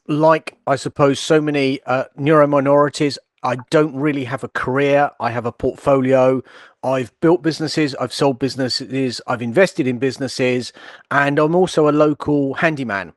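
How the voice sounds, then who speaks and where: Easygoing guy rambling thru his intro, mid pitch, dry audio. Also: no room sound. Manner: loose, rambling with fillers. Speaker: entrepreneur and handyman, panelist. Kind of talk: casual self-introduction.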